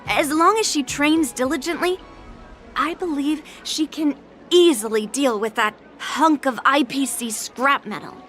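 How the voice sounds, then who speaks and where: A proud young sword teacher in an anime video game. Her voice is high and girlish, with a frank, no-nonsense way of talking. Soft music plays underneath. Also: unhurried. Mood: unbothered. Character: blunt, proud young female sword master.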